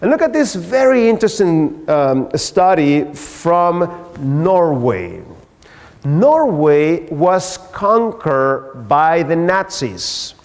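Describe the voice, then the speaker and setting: High-pitched man lecturing in an echoey hall. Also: darkish tone. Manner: emphatic explaining, with rhetorical questions. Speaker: health lecturer and researcher. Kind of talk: health lecture with slides at a church. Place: large church hall. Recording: echoey hall with long reverb.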